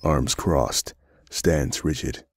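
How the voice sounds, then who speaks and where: Very deep, gravelly male narrator. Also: extremely slow, no room sound, studio quality. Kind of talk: scripted audio drama narration.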